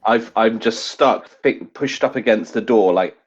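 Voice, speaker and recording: A man's voice: lowish voice, measured pace, breathy edge. A man playing a tabletop roleplay game, improvising comic narration in a casual, rambling way. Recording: dull, lo-fi game recording.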